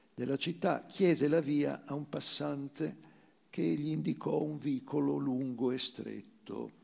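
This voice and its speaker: Very gravelly, slow male literary reader. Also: on the high side, darkish tone. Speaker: actor reading literature aloud. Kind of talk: dramatic literary narration.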